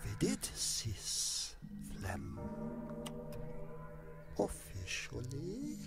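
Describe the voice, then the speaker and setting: A vain alien imperial chancellor with a grandiose, short-tempered manner. His delivery is unhurried and theatrical, swinging widely in pitch. Loud music sits behind this campy science-fiction radio comedy. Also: wildly swooping pitch, vocal fry, heavily acted. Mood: brooding. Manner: pompous, grandiose, theatrical, explosive. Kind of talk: campy sci-fi comedy audio drama.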